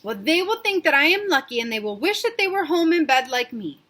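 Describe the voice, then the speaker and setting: A woman reading aloud plays a nervous, stubborn storybook heroine who whines and drags out her words. She sounds high, sulky and reluctant. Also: sing-song, a bit bright. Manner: whiny, slow and reluctant.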